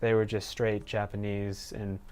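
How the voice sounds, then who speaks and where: A man of about twenty, a student answering documentary interview questions in a relaxed, conversational way. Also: lowish voice, measured pace, clean tone. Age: 20s. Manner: relaxed, conversational, with casual fillers.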